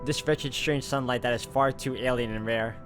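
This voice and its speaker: Man narrating a game over loud music. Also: mid-range voice, fairly even intonation, moderate pace. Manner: reads steadily, with casual asides. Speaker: gameplay narrator. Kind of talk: reading visual novel text aloud with asides.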